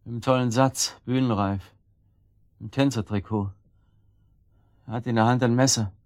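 An actor playing an evasive, irritable and weary husband in a radio drama. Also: male voice, medium pitch, fairly even intonation, moderate pace, darkish tone, no room sound, clean studio sound, natural voice. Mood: uneasy. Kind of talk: German radio drama acting.

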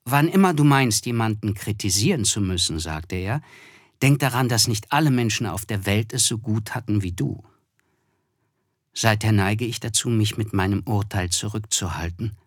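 An actor playing a reflective, principled man who narrates a literary radio drama in a measured, thoughtful way. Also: on the low side, lively intonation, no room sound, clean studio sound. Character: Nick Carraway, reflective, reserved, principled male narrator.

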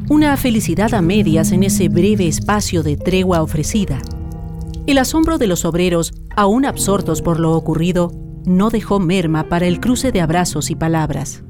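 A woman's voice: lowish voice, expressive, normal speed, over music. A woman giving solemn scripted narration for a radio drama.

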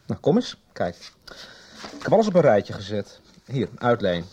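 A conspiratorial library worker in a radio soap. He is husky and lively in pitch, talking informally in halting, repeated bits. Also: dull audio. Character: chatty, conspiratorial male library worker poring over records. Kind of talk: 1990s radio soap acting.

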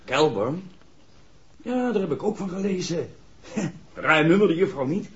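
High-voiced, cheeky garage lad. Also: male voice, expressive, unhurried. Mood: cheeky, impressed. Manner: breezy, familiar, colloquial. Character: chatty, cheeky young garage man, son of the owner. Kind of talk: 1960s Dutch radio drama acting. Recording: muffled old radio recording.

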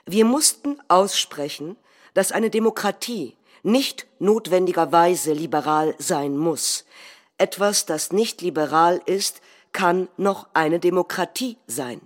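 A woman acting as a calculating nationalist head of government, delivering a measured, rhetorical political speech in a theatrical reading. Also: on the low side, lively intonation. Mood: measured, resolute. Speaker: stage actor.

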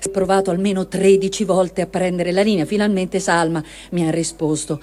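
A woman reading a first-person war diary aloud as a dramatic testimony, measured and expressive, with deliberate pauses. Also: fairly low pitch. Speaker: reader of written testimony.

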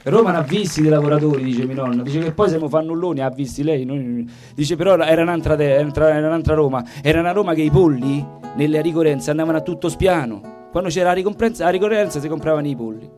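Casting: male stage storyteller, Roman accent, soft dark tone, slightly high voice, folksy comic asides, music behind. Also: quick pace, hardly any pauses. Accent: Roman Italian. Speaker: stage performer, storyteller and singer. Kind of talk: folksy live comic monologue in dialect.

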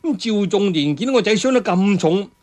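A man's voice: sits high, lively intonation, a little fast. A man with a Cantonese accent narrating a martial arts tale in traditional storytelling style, dramatic and emphatic. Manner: dramatic, emphatic delivery with exclamations and sound words.

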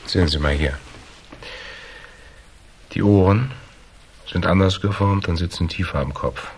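A man acting in a German crime radio drama as a cool, shrewd investigator who lays out his deductions in a measured, dry, needling way. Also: low-pitched. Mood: analytical. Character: cool, shrewd hardboiled male investigator, calmly laying out deductions.

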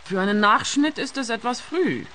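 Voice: medium pitch, lively intonation, moderate pace, pushed and bright, slight background noise. An actor playing a cheeky, flirtatious hairdresser with a menacing edge in a radio play, teasing and provocative. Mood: matter-of-fact.

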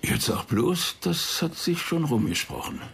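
A wily senior police chief in a radio crime drama. The voice is very raspy and breathy, with animated intonation. He speaks brusquely and with sardonic authority. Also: on the high side, a little slow, full character voice. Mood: mocking.

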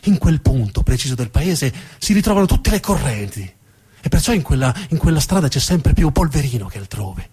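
A man narrating a theatrical monologue with expressive, dramatic storytelling. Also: mid-range voice, brisk, slightly rough. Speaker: narrator and actor.

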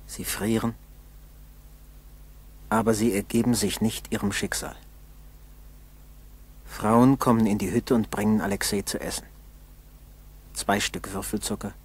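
A man narrating a scripted 1960s German school radio drama in a measured way with dramatic pauses. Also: lowish voice, breathy edge. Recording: noisy old 1960s radio recording.

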